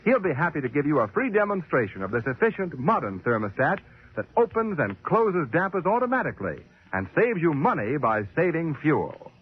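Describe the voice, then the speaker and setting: A man's voice: on the high side, sing-song, brisk, husky. A male radio announcer reading an advertisement in 1940s broadcast style. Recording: muffled, scratchy 1940s radio recording.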